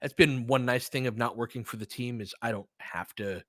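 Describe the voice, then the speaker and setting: A man's voice: mid-range voice, a little fast, no room sound, clean studio sound. A man hosting a live sports stream, chatting casually and informally, thinking aloud as he gives his commentary. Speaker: sports podcast host. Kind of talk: casual live basketball commentary, replying to chat.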